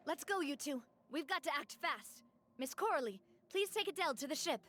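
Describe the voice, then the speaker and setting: Game heroine, young adult, decisive, high voice. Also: female voice, lively intonation, brisk, a bit bright, slight background noise. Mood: determined. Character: determined young woman leading her crew. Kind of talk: dubbed game voice acting.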